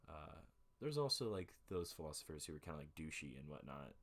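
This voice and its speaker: A man's voice: a bass voice, very animated, brisk. A young man hosting a casual home podcast, rambling with lots of fillers and self-corrections into a desk mic. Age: young adult. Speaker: podcast host. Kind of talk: relaxed casual chat. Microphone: USB desk mic with headphones.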